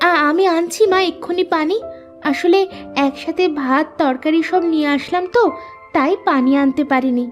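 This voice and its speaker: A woman's voice: high-pitched, normal speed, close to an everyday voice. A woman voicing a meek, dutiful young stepdaughter in a cartoon, with an obedient, pleading way of talking. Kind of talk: cartoon voice acting. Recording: music behind the voice.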